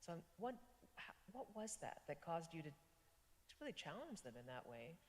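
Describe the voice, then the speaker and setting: Low, lively woman asking halting questions. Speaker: forum host and interviewer. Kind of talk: interview questions. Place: church forum hall. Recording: echoey hall with faint hiss.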